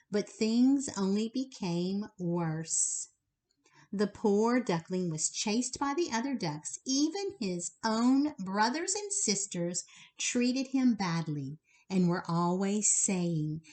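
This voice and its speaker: Slow, animated woman reading a bedtime story. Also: mid-range voice. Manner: slow, animated, pausing to address the child listeners directly. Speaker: storyteller reading a children's book aloud. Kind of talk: expressive bedtime read-aloud to young children.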